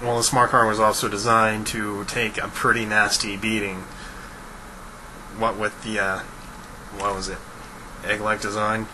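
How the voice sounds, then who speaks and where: A man's voice: fairly low pitch, flat delivery, measured pace, long pauses. A young man chatting casually in a blunt, matter-of-fact way. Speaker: participant in a phone chat. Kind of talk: casual chat. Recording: noisy phone-call recording.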